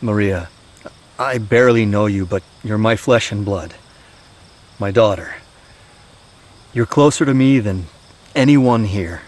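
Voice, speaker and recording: An actor playing a weary, haunted middle-aged soldier and gentle father in an audio drama, halting and confiding. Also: on the low side, lightly acted. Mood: earnest, confiding. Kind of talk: naturalistic audio drama acting. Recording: steady outdoor night-insect noise.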